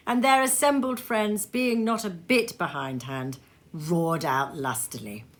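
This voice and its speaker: A woman storyteller reading classic literary narration aloud in an expressive way. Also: fairly low pitch, a little slow, a little hiss or hum.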